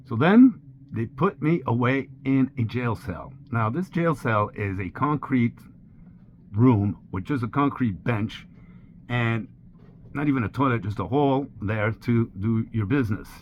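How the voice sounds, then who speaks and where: Man in his sixties, French native speaker, mid-pitched voice, candid storytelling, slightly dull recording, faint hiss. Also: unhurried. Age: 60s. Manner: plain, candid retelling with steady pacing. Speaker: sailor vlogger. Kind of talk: personal storytelling narration.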